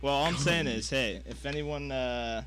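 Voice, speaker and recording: A man's voice: mid-range voice, moderate pace. A young man co-hosting a radio show in casual sports chat with a mid-range voice. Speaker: student radio show co-host.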